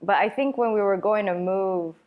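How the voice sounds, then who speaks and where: A woman in her thirties from Singapore, a podcast guest chatting in a rambling, halting way and laughing often. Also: medium pitch, dull audio. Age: 30s. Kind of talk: casual chat.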